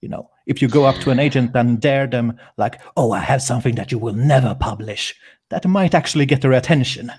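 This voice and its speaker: A man's voice: medium pitch, fairly quick, darkish tone, husky. A man, a guest writer on a video call, rambling and thinking aloud with fillers. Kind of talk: casual livestream chat.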